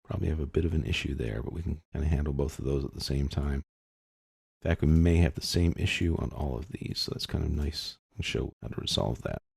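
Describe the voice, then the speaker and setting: A man giving an informal, unscripted step-by-step software tutorial, explaining and thinking aloud as he works. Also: extremely low pitch, brisk, vocal fry, husky, dry recording, clean studio sound.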